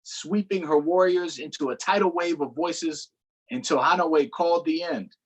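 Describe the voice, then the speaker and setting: A man reading a picture book aloud to children in an unhurried way, pausing for short explanatory asides. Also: on the high side, slightly rough, rough recording. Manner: unhurried, adds explanatory asides to young listeners. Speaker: storytime reader.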